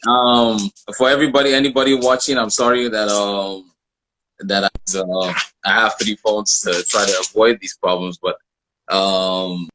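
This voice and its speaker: Low-voiced man hosting a casual live interview. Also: little pitch movement, measured pace, background noise. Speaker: host of live art interview show.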